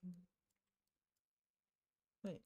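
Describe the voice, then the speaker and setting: A woman's voice: extremely slow, mellow. A woman streaming a game, chatting casually as she plays and reads dialogue aloud. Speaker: gaming streamer. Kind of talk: casual playthrough chat and dialogue reading.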